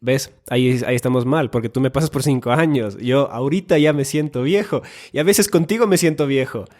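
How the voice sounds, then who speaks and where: A man's voice: mid-range voice, brisk, a little mellow. A man in his twenties from Finland, co-hosting a casual podcast chat with dry, self-mocking humor. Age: 20s. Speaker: podcast co-host.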